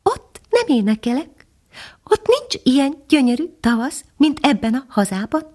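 A woman voicing a modest little female nightingale in a storybook, speaking gently and patiently. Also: high-pitched, very animated, pitched above the performer's own voice. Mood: explaining. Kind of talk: storybook character voice.

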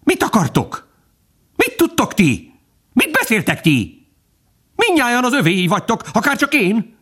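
A fierce storybook hound, pitched far up and leaping wildly in tone. It speaks with fanatical, indignant zeal, in a shouting, rough-edged voice. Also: male voice, wildly swooping pitch, full character voice. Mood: zealous indignation. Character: fierce fanatical hunting dog, barking with zealous devotion.

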